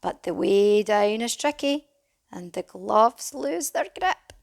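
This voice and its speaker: Slow, expressive woman reading to children. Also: medium pitch, vocal fry. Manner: animated, dramatic, with hushed whispered phrases. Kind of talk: expressive children's storybook narration in rhyme.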